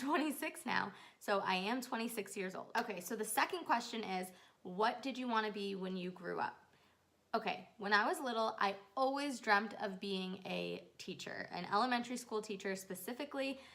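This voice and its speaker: A woman's voice: mid-range voice, bright, forceful tone. A woman in her twenties from New York, chatting casually to her channel viewers in a get-to-know-me vlog, rambling with fillers. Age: 20s. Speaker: YouTuber.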